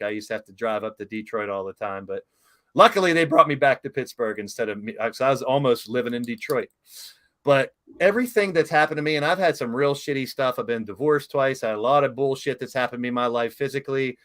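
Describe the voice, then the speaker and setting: A middle-aged man hosts a casual talk show interview. He tells long, rambling stories and gets openly emotional and excited. His voice sits at an ordinary pitch for a man. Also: fast. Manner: enthusiastic, emotional, rambling storyteller.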